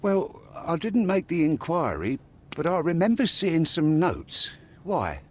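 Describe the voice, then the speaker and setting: A sneering husky cop. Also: male voice, a little high, sing-song, a little slow, full character voice. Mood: curious. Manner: sneering, scornful. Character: gruff, contemptuous male police officer.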